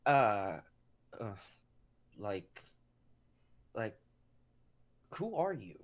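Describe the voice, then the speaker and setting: Man acting a bewildered everyday guy, lively pitch. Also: drawn-out words, full character voice. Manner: hesitant, questioning. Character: bewildered, skeptical, indecisive ordinary guy in his car. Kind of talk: comedic dramatic reading.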